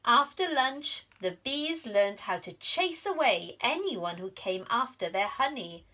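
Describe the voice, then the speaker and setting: A woman reading a children's story aloud like a primary school teacher, with expressive, dramatic emphasis. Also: a little high, a little slow. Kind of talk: animated storybook narration for young children.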